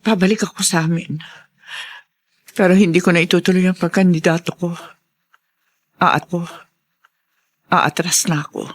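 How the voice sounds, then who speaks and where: A woman acting a remorseful middle-aged mother in a Tagalog radio drama, speaking in a halting, emotional way. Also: on the low side, fairly even intonation, lightly acted. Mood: resolute remorse.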